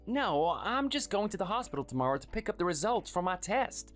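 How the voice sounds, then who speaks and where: A performer voicing a meek, sickly man in his thirties who speaks softly and hesitantly, always apologizing. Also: high-pitched, very animated, a little fast, faint music behind. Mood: pleading. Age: 30s. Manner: soft, hesitant, pleading. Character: meek sickly young husband, timid and apologetic.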